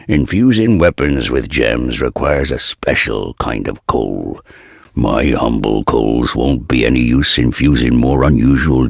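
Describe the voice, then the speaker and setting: Kindly old blacksmith, dark fantasy game. Extremely deep, gravel-throated and husky, archaic folksy speech, recorded close and dry. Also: male voice, no echo, exaggerated voice. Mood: instructive, earnest. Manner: slow, archaic, folksy phrasing. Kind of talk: dark fantasy video game character dialogue.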